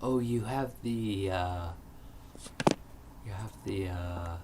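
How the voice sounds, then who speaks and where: A man co-hosting a stream, casually explaining card game rules in halting bursts with fillers. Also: deep voice, very noisy. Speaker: stream co-host. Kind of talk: casual chat while playing a card game. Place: game store.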